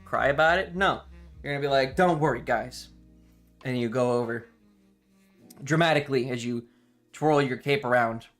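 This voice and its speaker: Male live streamer, mid-range voice, loose rambling chat with a playfully dramatic streak, soft guitar music behind. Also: mid-range voice, normal speed, pauses often. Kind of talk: casual livestream chat with viewers.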